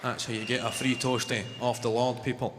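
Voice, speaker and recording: A man in his twenties with a Glaswegian Scottish accent, telling deadpan stories in a stand-up set, swearing freely. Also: mid-range voice, brisk, big-room sound, very noisy. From Scotland. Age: 20s. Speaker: stand-up comedian. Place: large arena on stage. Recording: live arena with loud crowd. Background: audience laughter.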